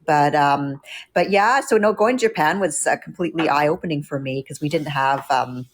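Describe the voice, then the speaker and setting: Canadian woman, forties, low-pitched, halting casual chat over video call. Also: a little hiss or hum. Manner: hesitant, many fillers and restarts. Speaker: guest. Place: home room with window. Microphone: laptop camera on video call.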